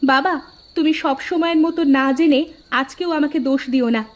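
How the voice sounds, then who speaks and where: A woman's voice: high voice, fairly even intonation, brisk, lo-fi sound, close to an everyday voice. A woman voicing a stubborn, proud wife who runs a hotel, speaking firmly in a cartoon. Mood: determined. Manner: firm, defiant; loud street-calling.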